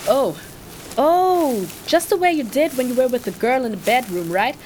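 Woman playing a wry skeptical sidekick, high bouncy voice, fantasy audio drama, some noise behind. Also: animated, moderate pace, a little mellow. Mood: curious, wry. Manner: casual, wry interjections. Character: flippant female companion, impressed and skeptical onlooker.

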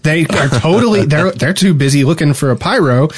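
A man's voice: fairly high pitch, expressive, a little fast, breathy edge, dry recording. A man co-hosting a gaming podcast in a casual conversational style. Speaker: podcast co-host.

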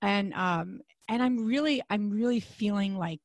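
Hoarse, raspy woman podcast host. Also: a little slow, no echo, clean studio sound. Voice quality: deep, raspy voice. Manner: chatty, rambling with fillers and restarts. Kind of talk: casual podcast chat.

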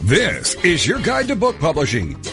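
A man reads a scripted show announcement in radio style. His voice is on the high side and swings animatedly in pitch. Music plays clearly behind him. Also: huge pitch swings, moderate pace. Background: loud music bed.

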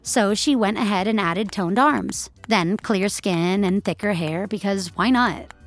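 A woman's voice: mid-range voice, a little slow, faint music behind. A woman narrating scripted audio fiction in the third person.

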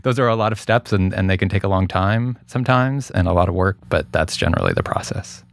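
A man's voice: deep voice, fast talker, gravelly, dry recording, studio quality. A man as an interview guest, explaining his production work casually and thoughtfully, often repeating words as he goes.

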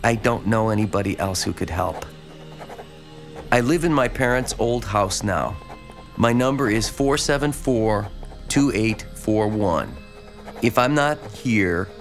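A lonely, abandoned small-town man in an audio drama, obsessed with horror fiction. His low voice is gravelly and very slow. He speaks earnestly and haltingly, with an awkward familiarity. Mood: hopeful, awkward. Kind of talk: dramatized letter read as monologue.

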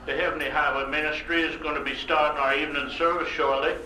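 Bright, echoey folksy chaplain. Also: male voice, on the high side, flat delivery, fast. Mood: folksy, inviting. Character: folksy truck-stop chaplain announcing an evening service. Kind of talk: loudspeaker announcement in audio drama. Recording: echoey, distant loudspeaker announcement.

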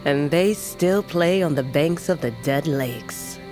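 Slow-spoken, solemn old shaman woman. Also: low voice. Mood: solemn, steady. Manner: solemn, measured, cryptic. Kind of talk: fantasy audio drama acting. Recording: music underneath.

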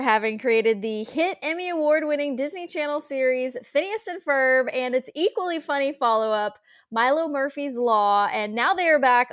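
A woman with a fairly high voice reads out upcoming entertainment listings with brisk enthusiasm. She sounds like a podcast co-host. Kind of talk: upbeat entertainment news rundown.

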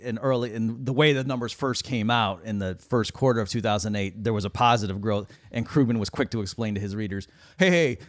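A man's voice: medium pitch, no room sound, clean studio sound. A man co-hosting a conversational podcast discussion, talking animatedly and quoting others in put-on voices. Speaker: co-host.

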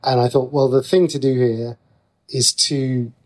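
A man's voice: mid-range voice, flat delivery, measured pace, a little mellow. A man with a British accent talking as a guest writer in a conversational interview, explaining things in a chatty way.

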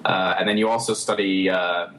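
A man from the United States speaks as a guest in a casual interview. His voice sits a little low and the delivery is relaxed and hesitant, with plenty of pauses and easy laughter. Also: slight background noise.

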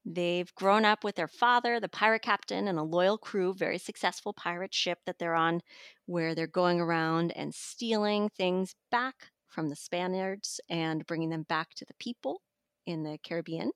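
Enthusiastic woman reviewer, lowish voice. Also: normal speed, fairly bright tone, clear, resonant tone, no echo, studio quality. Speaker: audiobook magazine editor and reviewer. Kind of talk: conversational book review interview.

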